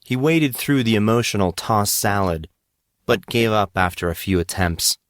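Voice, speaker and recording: A man reading scripted fiction as narrator, in a measured, dramatic storytelling manner. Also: fairly low pitch, animated, fairly bright tone, dry recording, clean studio sound.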